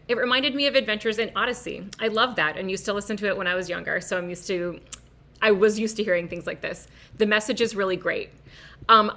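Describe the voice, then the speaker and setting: A woman's voice: mid-range voice, a bit bright. A woman speaking casually at an online event as a guest educator, earnest, emphatic and gushing.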